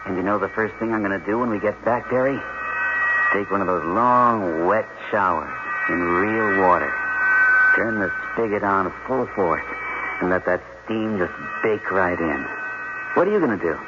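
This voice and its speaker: A man's voice: sits low, normal speed, natural voice. A man in an old-time radio drama playing an eager, homesick astronaut scientist. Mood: wistful, eager. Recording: muffled, very noisy vintage radio broadcast.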